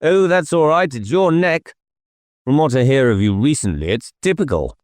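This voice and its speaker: Man putting on a high, clipped fifties naval type. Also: animated, moderate pace, a little mellow, no echo, natural voice. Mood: dryly scornful. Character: brisk, efficient naval man in his fifties, unsmiling. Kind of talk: dramatised audiobook dialogue.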